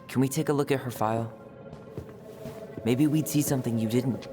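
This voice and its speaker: A man's voice: medium pitch, fairly even intonation, moderate pace, natural voice. An actor playing a resentful young man in a video game, a wronged brother who speaks bluntly and with sarcasm. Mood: guarded, pressing. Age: young adult. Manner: blunt, sardonic. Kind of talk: naturalistic video game acting. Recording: long reverb, music behind the voice.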